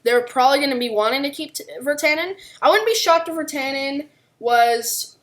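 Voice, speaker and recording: A woman with a fairly high voice co-hosts a sports podcast. She talks through her analysis conversationally, without a script. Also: moderate pace. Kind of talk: unscripted hockey analysis discussion.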